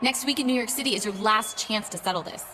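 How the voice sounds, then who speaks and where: A woman voicing a brisk backstage interviewer in a wrestling game, on a noisy recording. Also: fairly high pitch, close to an everyday voice. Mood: businesslike.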